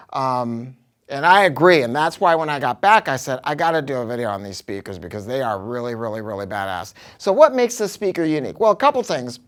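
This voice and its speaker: A man's voice: a little high, clear, resonant tone. A man giving a casual product review, talking informally and emphatically with some salty language.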